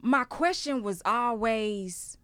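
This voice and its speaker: Bright-voiced young woman hosting casual chat. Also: a little high, smooth, dry recording, clean studio sound. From Houston, Texas, raised in Ghana. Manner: playful, quick quiz questions, then reflective storytelling. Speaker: host.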